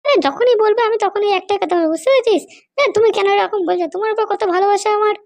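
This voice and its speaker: A performer voicing a scheming, haughty woman politician in a cartoon comedy, bossy, scolding and fond of sharp questions. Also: very high-pitched, rapid-fire, dry recording. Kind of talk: amateur cartoon comedy dialogue.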